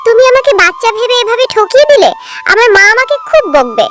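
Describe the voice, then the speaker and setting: A woman's voice: very high voice, very animated, fast talker, over loud music. A woman voicing a small, sweet little girl in a cartoon, with a plaintive, childlike manner. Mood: hurt, plaintive. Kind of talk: cartoon voice acting.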